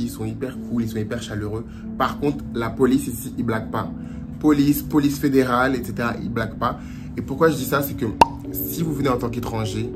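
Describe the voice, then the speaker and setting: A young man from France giving casual travel advice in a lively vlog, dropping Portuguese phrases as he talks. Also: medium pitch. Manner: chatty and animated, slips into Portuguese phrases. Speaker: vlogger. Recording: music playing under the voice.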